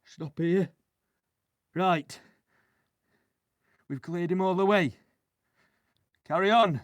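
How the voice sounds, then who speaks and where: Urgent high-pitched soldier calling orders. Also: male voice, drawn-out words, no room sound. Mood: urgent, commanding. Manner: calling out, commanding. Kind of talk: audio war drama.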